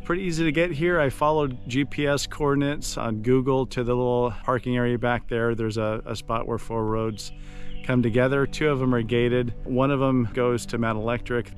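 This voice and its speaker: A man narrating a casual outdoor hiking vlog as a radio hobbyist, in a matter-of-fact, low-key way. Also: steady tone, normal speed, soft background music. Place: outdoors on a forested hiking trail.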